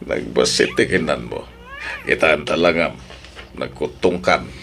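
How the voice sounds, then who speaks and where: A man's voice: very deep voice, a little slow, creaky, a bit bright, full character voice. A man acting an evasive, callous, unfaithful husband in an audio drama, dismissive and emotive. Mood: defensive.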